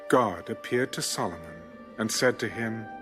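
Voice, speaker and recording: A man narrating scripture in a measured, formal, solemn storytelling style. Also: on the low side, lively intonation, music in the background.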